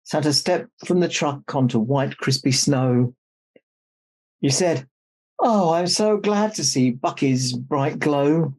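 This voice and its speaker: A man's voice: on the high side, slow pace, darkish tone. A man playing Santa Claus, a jolly old man reading a children's storybook aloud with jokey, mock-indignant asides. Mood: storytelling.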